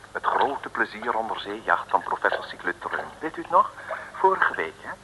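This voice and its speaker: A man narrating a comic radio serial from around 1960 in a dramatic, tongue-in-cheek manner, addressing listeners directly. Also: lowish voice. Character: wry, theatrical storyteller of comic adventure serial. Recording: old noisy radio recording.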